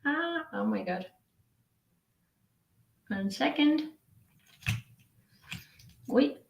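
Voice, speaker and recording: A woman's voice: high-pitched, animated, very slow, vocal fry, echoey room, lo-fi sound. A young woman from Ukraine chatting casually on a live stream and reading viewers' messages, giggling often and trailing off into fillers and restarts.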